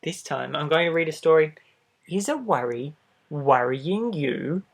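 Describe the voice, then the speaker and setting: A man reads a picture book aloud to young children in a gentle, expressive way, adding little asides straight to the listener. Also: on the high side, gravelly. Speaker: storybook reader for children.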